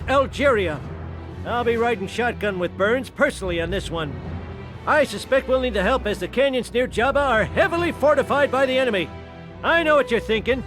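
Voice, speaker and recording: A blustering old cartoon sergeant with a strikingly high voice. He barks out orders in a crude, folksy way, like a veteran briefing his troops in a video game, with music clearly behind him. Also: fairly bright tone. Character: gruff, blustering elderly army sergeant, crude and commanding.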